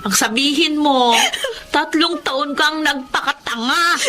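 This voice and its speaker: A woman's voice: high-pitched, a little slow, bright, forceful tone. A woman playing a blunt, teasing best friend in a radio drama, with a Filipino accent. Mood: scolding. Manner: scolding, teasing, exclamatory.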